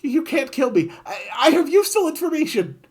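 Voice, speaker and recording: A game player voicing a sly little mouse that begs in an overly polite, whiny way, pitched high above their own voice. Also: very animated, unhurried, husky. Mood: panicked. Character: sly pleading mouse, secretly a griffin.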